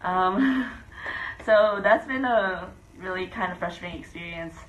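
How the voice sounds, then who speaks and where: A woman's voice: mid-range voice, unhurried, roomy sound, highs cut off, a little hiss or hum. A young woman, born in the Philippines and raised in the US, speaking thoughtfully and hesitantly about studying abroad. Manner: thoughtful, hesitant with many ums. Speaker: student abroad. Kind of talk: interview testimony.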